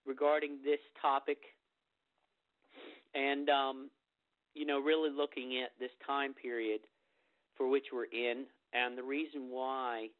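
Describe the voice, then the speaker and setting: Man getting over a cold, meditation host, rambling, mid-pitched, phone-line audio. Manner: rambling, many fillers and restarts. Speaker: meditation teacher hosting a group phone call. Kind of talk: informal spiritual talk before a meditation. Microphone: telephone.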